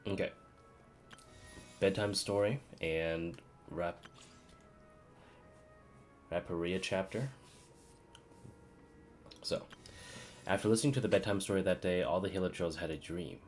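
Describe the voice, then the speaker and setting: A man's voice: low voice, a little slow, pauses often, faint music behind. A man doing casual live-stream gaming commentary, muttering and thinking aloud to himself. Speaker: game streamer.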